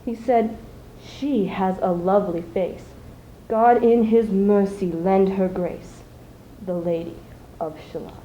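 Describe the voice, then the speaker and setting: A teenage girl, a high school student, recites poetry in a theatrical, measured way at a live event. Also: steady tone, warm tone, highs cut off. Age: teens. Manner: theatrical, measured, with dramatic dynamics. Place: live school showcase before an audience. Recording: faint noise, live event ambience.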